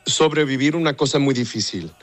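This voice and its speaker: A man from Texas, half Mexican, an opera singer answering interview questions in Spanish with pauses, repeated words and stray English. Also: mid-range voice, a bit bright, soft background music. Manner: hesitant, searching for Spanish words, mixes in English.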